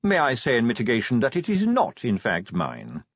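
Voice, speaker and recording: A man voicing an unflappable formal butler, deferential, smooth and poker-faced in an audiobook story. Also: medium pitch, very animated, normal speed, no echo, highs cut off, voice pushed up. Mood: smooth, deadpan.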